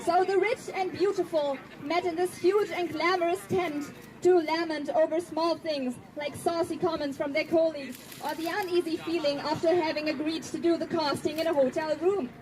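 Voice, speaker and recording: High-pitched woman giving a speech. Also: steady tone, noisy background. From Germany. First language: German. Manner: earnest, pointed, slows down for emphasis. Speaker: activist speaker. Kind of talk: prepared speech to an audience.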